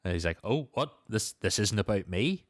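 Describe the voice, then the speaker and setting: A man's voice: on the low side, lively intonation, normal speed, no room sound, studio quality. A man hosting a podcast, casually recapping and discussing a story with a co-host.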